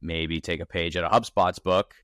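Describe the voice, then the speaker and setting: A man of thirty, a startup founder as podcast guest, talking in a casual, energetic way with many restarts and fillers. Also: sits low, normal speed, clear, resonant tone, no room sound, studio quality. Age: 30s. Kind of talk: casual interview conversation. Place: Toronto.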